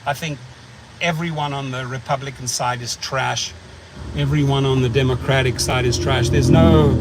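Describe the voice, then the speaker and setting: A man's voice: medium pitch, noisy background. A middle-aged man with a South African accent hosting a casual livestream chat, rambling and blunt, repeating words as he thinks. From United States. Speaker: channel host.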